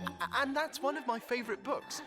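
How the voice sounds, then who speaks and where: A man playing a gentle, fussy, kindly gentleman animal in a children's audio drama, flustered and old-fashioned in his politeness. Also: very high voice, brisk, music in the background.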